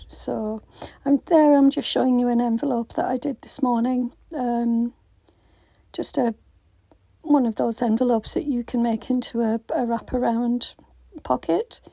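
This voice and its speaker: Craft vlogger woman, croaky, mellow and slow, lots of ums, dry voiceover. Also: a little high, dry recording. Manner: hesitant, many ums and restarts. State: croaky voice after illness. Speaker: craft channel host. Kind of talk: casual voiceover for a craft video. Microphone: phone.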